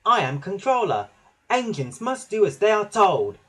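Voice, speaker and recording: A man's voice: high voice, animated, unhurried, slightly rough, voice pushed up, heavily acted. A man voicing an eager, self-important little male steam engine for a children's storybook, bossy and earnest. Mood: bossy, proud.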